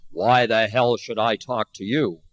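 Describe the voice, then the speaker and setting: A big drunken laborer, as a male narrator puts him on, bellowing his words loud and rough. The voice sits a little low and sounds dry, as if the mic is close. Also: steady tone, measured pace, no room sound, close to an everyday voice. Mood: belligerent. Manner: roaring, bellowing.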